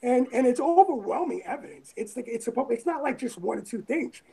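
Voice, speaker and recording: A woman from Brooklyn with a raspy voice, talking in a rambling, emphatic way in an interview, often repeating phrases. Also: a little high, lively intonation, fairly quick, dark tone. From Brooklyn, New York. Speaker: interviewee, former firefighter and EMT. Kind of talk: casual interview talk.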